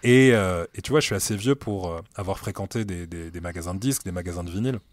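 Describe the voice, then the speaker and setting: A man in his late thirties speaks with a low, deep voice, calm and reflective, with frequent pauses as he works through his ideas. The sound is close and dry, with no room echo. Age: late 30s. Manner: relaxed, thoughtful, many hesitations. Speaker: music director at a streaming platform, guest. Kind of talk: casual conversational interview. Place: meeting room at the streaming company's office.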